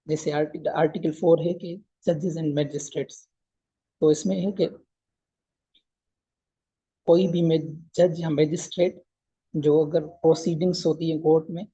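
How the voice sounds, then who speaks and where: A man lectures in a fairly high but very even voice. The tone is husky and mellow. He explains informally and haltingly, repeating points and switching to English for technical terms. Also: highs cut off. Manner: mixes English legal terms into speech. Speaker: law lecturer.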